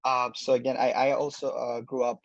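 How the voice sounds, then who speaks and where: A young man from Bangladesh, an incoming medical student, answering interview questions thoughtfully with many pauses and fillers. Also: mid-range voice, little pitch movement, gravelly, no echo, highs cut off. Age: young adult.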